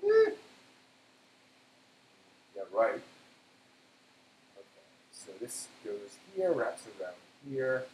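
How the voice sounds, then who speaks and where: Casual male cooking streamer, mid-pitched, halting and talking to himself, recorded in an echoey kitchen. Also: wildly swooping pitch, darkish tone. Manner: halting, self-talking, trailing off. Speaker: host of a cooking live stream. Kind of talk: casual cooking chat, thinking aloud. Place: indoor kitchen. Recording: echoey room, background noise.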